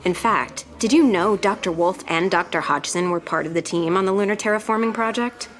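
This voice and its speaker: A woman's voice: mid-range voice, fairly quick, a little hiss or hum, lightly acted. An actress playing an anxious, earnest young woman in an audio drama, speaking with emotional, defensive feeling. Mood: fond, earnest. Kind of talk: scripted audio drama dialogue.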